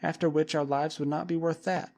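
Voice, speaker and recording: A man's voice: on the high side, level tone, fairly quick, creaky, a little mellow, voice pushed up. A man voicing a decisive young woman who firmly leads a group in danger. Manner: firm, decisive. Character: commanding young woman, cool-headed leader.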